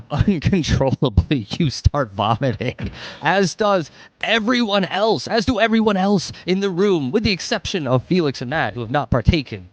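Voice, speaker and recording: A man's voice: fairly high pitch, animated, moderate pace, no echo, dull audio. Man casually game-mastering a tabletop story. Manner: informal, offhand asides. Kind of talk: improvised tabletop game narration.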